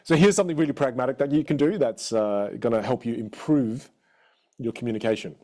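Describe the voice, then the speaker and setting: A man with an Australian accent coaching a live online audience in an energetic, encouraging way. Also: mid-range voice, brisk. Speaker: communication coach.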